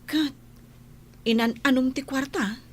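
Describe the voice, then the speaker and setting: Some background noise sits behind a devout woman friend with a fairly high voice. She advises earnestly and consolingly, in the manner of a radio drama. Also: steady tone, slow. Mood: sympathetic. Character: devout, sympathetic female friend and counsellor, gently advising. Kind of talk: Ilocano radio drama acting.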